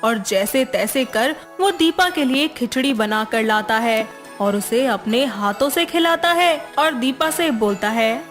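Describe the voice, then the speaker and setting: A woman narrating a scripted family story in an even, measured way, with soft music underneath. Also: a little high.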